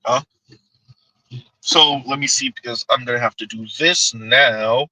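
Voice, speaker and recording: A man's voice: mid-range voice, a little slow, sharp tone, dry recording, poor audio. A man hosting a livestream, giving casual commentary, reading chat aloud and laughing often as he answers viewers. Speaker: livestream host.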